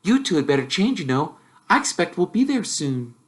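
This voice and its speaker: A man voicing a bossy, know-it-all young girl witch who chatters in a prim, brisk, self-assured way. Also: on the high side, sing-song, voice pushed up. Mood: bossy, chatty.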